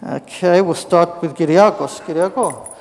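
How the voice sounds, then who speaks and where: A man in his fifties moderating a panel in a church hall, relaxed and joking, passing around a handheld mic. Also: on the high side, unhurried. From Australia. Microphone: shared handheld mic. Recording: echoey hall.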